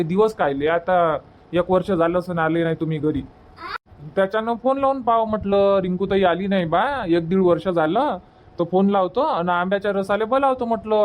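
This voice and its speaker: An actor as an eager, high-voiced village man. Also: moderate pace, lightly acted. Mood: coaxing. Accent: Varhadi Marathi. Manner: teasing, insistent, colloquial. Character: Baban, eager teasing village man, a son. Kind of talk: cartoon comedy dialogue.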